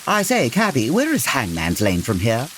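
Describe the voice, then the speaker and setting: Victorian detective, proper and precise, very animated intonation, audio comedy, noisy rainy backdrop. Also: male voice, mid-range voice, normal speed. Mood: polite, firm. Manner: formal, precise, gently pompous. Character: composed, courteous Victorian gentleman detective. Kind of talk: comedy audio drama acting. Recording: noisy, rain-like hiss behind.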